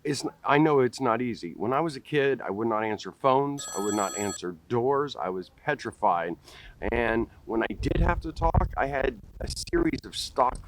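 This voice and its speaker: A man's voice: medium pitch, animated, normal speed, a little hiss or hum, low-quality recording. A man in his forties with a stutter, talking straight to camera outdoors in a park, repeating first sounds and restarting words. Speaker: vlogger. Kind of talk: direct-to-camera talk. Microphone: camera close up at face level.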